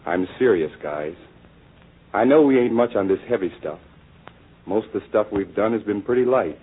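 A man's voice: on the low side, lively intonation, normal speed, slightly rough. An American man playing an earnest, idealistic young songwriter in a 1940s radio drama, speaking with serious deliberation on a tinny old recording.

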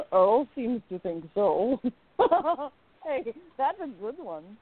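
A woman playing a playful, affectionate young artist in love in a radio play, with a teasing, expressive delivery. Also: a little high, extremely slow, dry recording, exaggerated voice. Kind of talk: amateur radio play acting. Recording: thin phone-like audio, dry.

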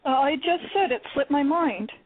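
Woman doing a cagey young college girl, high voice, tinny phone-line radio sound. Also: animated, normal speed, dry recording. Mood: defensive. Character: evasive young female college student. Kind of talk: amateur radio play reading.

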